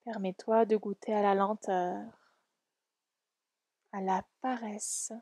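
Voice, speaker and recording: A woman leading a guided relaxation meditation in a soft, soothing, gentle and unhurried way. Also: medium pitch, level tone, no room sound, clean studio sound. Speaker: yoga nidra guide.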